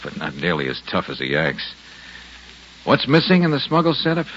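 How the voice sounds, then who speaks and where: Casting: wisecracking, self-assured detective, bright forceful low voice, old-time radio thriller. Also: male voice, wildly swooping pitch, normal speed, exaggerated voice. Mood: wry, explaining. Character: confident, wry male private detective. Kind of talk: 1950s radio detective drama acting. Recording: dull, noisy old radio recording.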